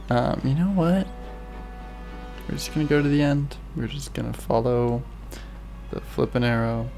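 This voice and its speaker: A man streaming a game, rambling through casual gameplay commentary with a hoarse voice he is losing and thoughts that trail off. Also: mid-range voice. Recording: faint game music behind.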